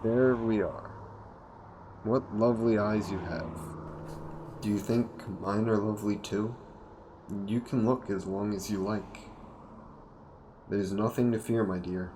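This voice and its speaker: A man's voice: on the low side, slight background noise. A man playing a courtly, seductive vampire in an intimate roleplay audio, speaking formally and coaxingly to the listener. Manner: formal, gentlemanly, coaxing, unhurried. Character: courtly, seductive male vampire, hungry and predatory.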